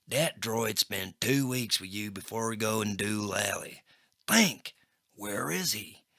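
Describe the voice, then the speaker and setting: Man playing a sneering, gravelly gangster thug. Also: lowish voice, animated, bright, forceful tone, dry recording, studio quality, exaggerated voice. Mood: threatening. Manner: slow, sneering, threatening. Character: menacing, foul-mouthed male gangster thug, coldly threatening. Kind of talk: comedy sci-fi audio play villain.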